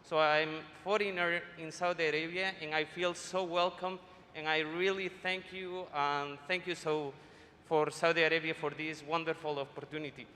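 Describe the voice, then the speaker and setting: Bright-voiced man from Ecuador. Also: a little high, level tone, normal speed, a little hiss or hum. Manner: grateful, slightly ungrammatical. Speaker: doctoral student asking a question. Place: conference hall. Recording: long hall reverb, faint ambience.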